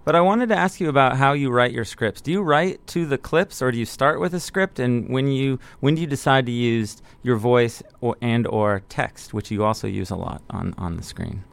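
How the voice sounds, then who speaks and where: A male radio host asks his guest questions in a friendly, conversational tone. His voice is smooth and clear. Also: medium pitch, normal speed, hardly any pauses. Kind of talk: interviewing a guest.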